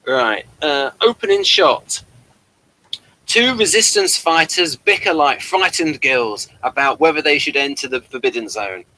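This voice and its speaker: Male review host, bright forward voice, reads guide entries aloud with chatty asides. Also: on the high side, expressive, a little slow, low-quality recording. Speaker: host of a TV episode review show. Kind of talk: reading aloud from an episode guide with off-the-cuff asides.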